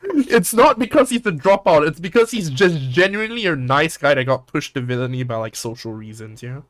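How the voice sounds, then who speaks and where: A young man from Singapore hosting a podcast, chatting casually in a rambling, animated way and swearing often. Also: a little high, fairly quick, no echo. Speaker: podcast host. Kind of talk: casual chat.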